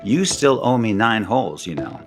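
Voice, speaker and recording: A man's voice: on the low side, sing-song, moderate pace, music in the background. An actor playing a diplomatic middle-aged businessman and board member in an audio soap opera. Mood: cordial, flattering.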